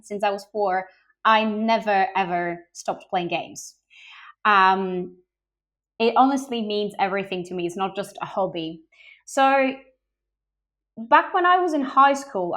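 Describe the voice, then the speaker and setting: A woman's voice: medium pitch, a bit bright. Enthusiastic young woman recruiter guest. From Bulgaria, raised in Greece. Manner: open, enthusiastic, frequent ums. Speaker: guest, game industry recruiter. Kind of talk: podcast interview answers.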